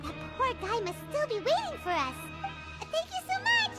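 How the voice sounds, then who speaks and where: A woman voicing a small childlike flying fairy companion in a video game, chirpy and easily indignant, with a squeaky voice. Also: huge pitch swings, moderate pace, heavily acted. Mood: chatty. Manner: refers to self in third person, squeaky. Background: music.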